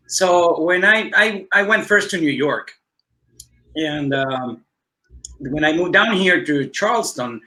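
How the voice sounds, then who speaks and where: Male guest, a touch high and bright, earnest and halting, heard on a video call. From Ecuador, raised in Cuba. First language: Spanish. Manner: earnest, halting with many fillers. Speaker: guest musician. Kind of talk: conversational interview answer.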